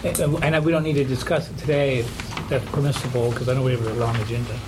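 Board member guy asking for stuff in a meeting, medium voice, bit halting, noisy room. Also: medium pitch, steady tone. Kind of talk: informal meeting discussion.

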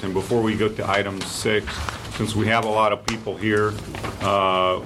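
A man chairing a public board meeting, running through procedure off the cuff. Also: low-pitched. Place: indoor public meeting room. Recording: clearly noisy meeting room recording.

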